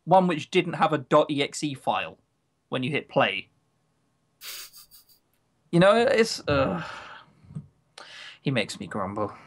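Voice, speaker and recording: A man's voice: a little high, big pitch swings, slow, pauses often. Grumbling, sarcastic man chatting on a podcast. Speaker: podcast co-host. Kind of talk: casual opinionated podcast chat.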